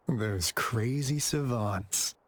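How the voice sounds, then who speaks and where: An actor playing a roguish, curious young investigator in a video game, with a theatrical, teasing, breezy manner. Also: male voice, mid-range voice, very slow, a bit bright. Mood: fascinated. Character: roguish, curious young male treasure-hunting investigator, playful and cocky. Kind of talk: animated video game voice acting. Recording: game music under the voice.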